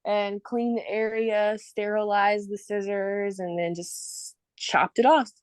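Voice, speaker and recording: A woman's voice: mid-range voice, fairly even intonation, takes it slowly, clean tone, no room sound. A woman in her thirties, a podcast guest chatting casually and laughing often as she tells stories. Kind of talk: casual chat.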